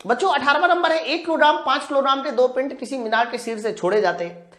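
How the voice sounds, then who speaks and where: A woman's voice: high voice, quick pace, a bit bright. A woman teaching an exam-prep lesson step by step, asking questions and answering them herself while addressing students informally. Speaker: physics teacher for exam preparation. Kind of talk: live lesson, working through practice questions step by step.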